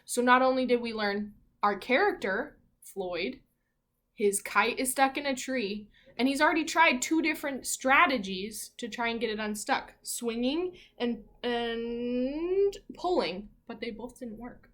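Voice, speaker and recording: Higher-pitched woman teaching young children. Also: animated, a bit bright. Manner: asks questions and pauses as if hearing answers. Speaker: elementary school teacher. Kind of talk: interactive read-aloud lesson for young children.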